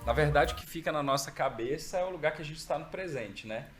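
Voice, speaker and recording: A man's voice: a little high, fast, faint music behind. Reflective man with a mineiro accent. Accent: Minas Gerais. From Brazil, raised in Minas Gerais. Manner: relaxed, reflective storytelling with frequent "né?". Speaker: podcast guest. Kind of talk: interview conversation.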